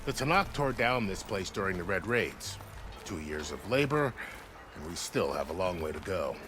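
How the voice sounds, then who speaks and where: A courteous male army officer from a video game. He has a lowish voice with lively intonation and a wry, storytelling manner. Music plays behind him. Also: moderate pace. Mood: wry, cautioning.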